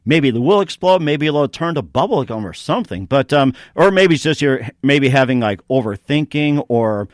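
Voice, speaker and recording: A man's voice: mid-range voice, lively intonation, normal speed, hardly any pauses, no echo, studio quality. A man hosting a casual interview, chatting and asking questions in a meandering, repetitive way. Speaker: interview show host.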